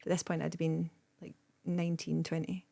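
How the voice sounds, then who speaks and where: A woman's voice: low-pitched, monotone, fairly quick, vocal fry, warm tone. A Scottish woman in her thirties, a guest comedian rambling in casual podcast chat with plenty of "like" and "um". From Scotland. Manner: rambling, lots of "like" and "um", bursts of laughter.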